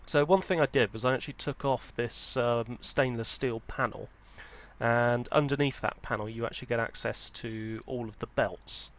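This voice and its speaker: A man with a medium-pitched voice presents a hobby video in an informal, unscripted style. He explains details step by step, with a hesitant delivery that often hedges as it goes. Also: normal speed. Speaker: presenter of a vintage audio hobby channel. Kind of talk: informal product walkthrough, unscripted.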